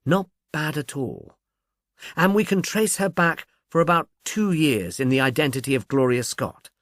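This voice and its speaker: A man's voice: on the high side, animated, normal speed, a bit bright, no echo, pitched above the performer's own voice. A man voicing a sceptical, exasperated policeman in an audiobook, precise and grudging. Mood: grudging, explanatory. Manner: dry, complaining, precise.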